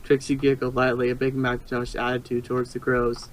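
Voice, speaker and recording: Male narrator, mid-pitched, amateur story reading, occasional stumbles and rereads. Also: completely flat delivery, brisk. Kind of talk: amateur read-aloud fan fiction narration.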